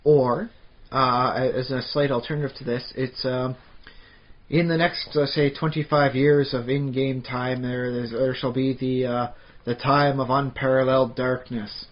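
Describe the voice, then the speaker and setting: A man from Canada in mid-range pitch, co-hosting a podcast and rambling through a tabletop-game topic with plenty of fillers and restarts. The audio is dull and lo-fi, like a home podcast setup. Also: fairly even intonation, a little slow. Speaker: podcast co-host. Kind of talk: casual chat about tabletop games.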